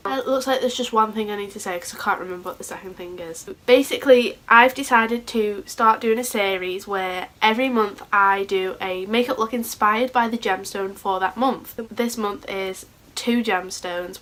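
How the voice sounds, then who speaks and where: Hoarse chatty woman vlogger. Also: mid-range voice, fairly bright tone. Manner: chatty, rambling, a bit scatterbrained. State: sore throat, hoarse. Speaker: beauty vlogger. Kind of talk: casual makeup tutorial.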